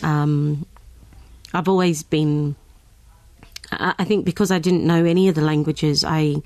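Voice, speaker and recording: Low, dry-miked Australian woman guest; halting, filler-heavy chat. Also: steady tone, a little mellow, no room sound. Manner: hesitant, with many ums and restarts. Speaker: guest singer. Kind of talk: casual interview chat.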